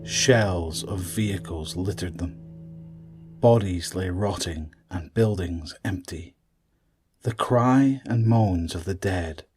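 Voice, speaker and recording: A man narrating a scripted horror story in a measured, suspenseful way, trailing off at the ends of phrases. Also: deep voice, darkish tone, breathy edge.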